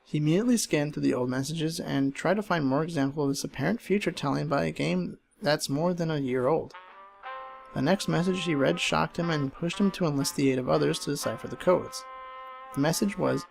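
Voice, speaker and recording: A man reading a scripted horror story in a measured, dramatic storyteller's delivery. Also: a little high, gravelly, poor audio. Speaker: narrator reading online horror stories. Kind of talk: scripted creepypasta narration. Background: game music under the voice.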